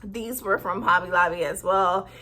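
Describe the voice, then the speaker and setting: Bubbly thirtysomething woman chatting to camera, laughs a lot, says like constantly, bright tone. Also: fairly low pitch, moderate pace. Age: 30s. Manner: giggly, bubbly, lots of "like". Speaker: sewing vlogger. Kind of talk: casual chat.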